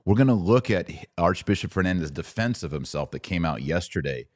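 American man, low voice, dry close sound, podcast host, slangy, incredulous, opinionated. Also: animated, brisk, dry recording. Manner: informal, slangy, mocking, incredulous. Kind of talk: opinionated religious commentary to an audience.

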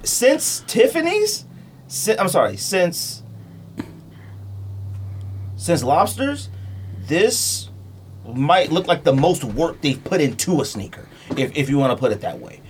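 A man with a fairly high, very animated voice holds court as a podcast host. He talks in emphatic slang, repeating himself as he argues, with some noise behind him. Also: takes it slowly, pauses often. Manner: emphatic, repetitive, slangy, argumentative. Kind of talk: animated sneaker debate, casual chat.

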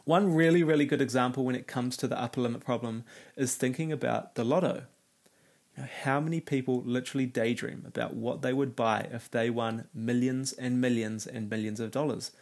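A man talks through a motivational podcast episode in a conversational, earnest way. His voice is raspy and nasal from a cold, with a gravelly, fried texture at a mid-range pitch. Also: steady tone, fairly quick, a little mellow. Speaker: podcast host. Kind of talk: solo self-help podcast talk.

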